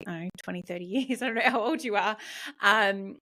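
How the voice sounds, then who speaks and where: A woman's voice: medium pitch, brisk, pushed and bright. A woman from Australia hosting a podcast interview, asking questions in a loose, searching way with restarts and fillers. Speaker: podcast host. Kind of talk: casual conversational interview.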